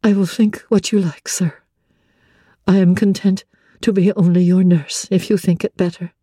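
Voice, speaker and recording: A woman's voice: lowish voice, flat delivery, normal speed, warm tone, husky, dry recording, studio quality. A woman playing a devoted, independent young woman, earnest and composed, in a classic novel reading. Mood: calm, dutiful.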